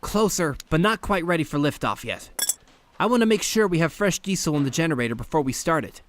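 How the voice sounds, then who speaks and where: A man's voice: a little high, animated, fairly quick, slight background noise, close to an everyday voice. A man voicing a brainy, polite teenage turtle inventor in an audio drama, chatty, precise and earnest. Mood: focused, upbeat. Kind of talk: conversational audio drama acting.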